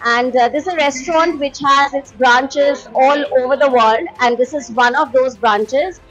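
High-voiced woman hosting a casual vlog. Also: normal speed, lo-fi sound, soft background music. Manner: chatty, frequent "uh" fillers. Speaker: vlog host. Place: busy indoor restaurant.

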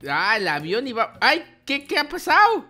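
Young guy hosting gameplay, high youthful voice, playful and excitable, music behind him. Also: normal speed. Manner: playful, exclamatory. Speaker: gaming video host. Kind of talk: excited gameplay roleplay chat. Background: background music.

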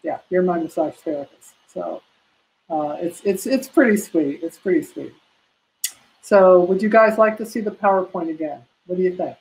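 A woman's voice: fairly low pitch, normal speed, darkish tone, low-quality recording. An older woman from the United States teaching a live online massage class from a room at home, answering questions in a practical, chatty way with many fillers. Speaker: prenatal massage instructor. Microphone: laptop webcam on a video call.

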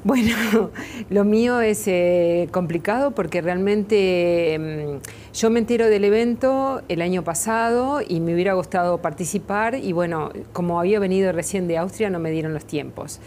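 A middle-aged woman with a Uruguayan accent, a makeup artist answering questions in a TV studio interview, often pausing with fillers and restarts. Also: lowish voice, a little mellow, a little hiss or hum. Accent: Uruguayan Spanish. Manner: hesitant, many fillers and restarts. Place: TV studio set, seated in armchair.

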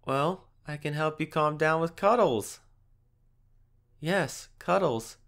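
Young man acting as a playful male fox spirit, unhurried, creaky voice, gentle, affectionate, teasing, boyfriend audio roleplay. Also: fairly high pitch, exaggerated voice. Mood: soothing, tender. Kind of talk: boyfriend ASMR roleplay, addressing the listener.

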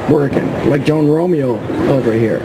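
A man's voice: medium pitch, moderate pace. A middle-aged man, a magazine publisher, chatting as a guest at a trade show booth, rambling with restarts into a stand mic. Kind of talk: casual trade-show interview. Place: trade show booth, large indoor hall. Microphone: table stand mic. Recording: noisy hall with hum.

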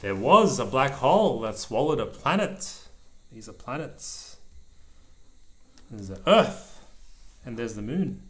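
Male parent, medium pitch, storybook read-aloud for a young child with simple asides. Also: sing-song, slow pace, long pauses, a bit bright. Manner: simple explanations and pointing out pictures for a small child. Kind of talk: read-aloud of a children's picture book, with short explanatory asides. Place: indoors at a table over the open book.

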